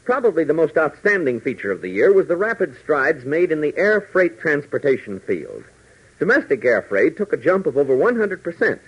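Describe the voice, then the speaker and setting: Animated husky 1940s radio announcer man. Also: mid-range voice. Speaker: announcer and narrator. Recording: dull, worn 1940s radio recording.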